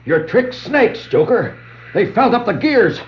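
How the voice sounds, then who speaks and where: An actor playing a crude, excitable villain's henchman in a 1960s radio-play style. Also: high-pitched, unhurried. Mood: panicked. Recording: dull 1960s vinyl record.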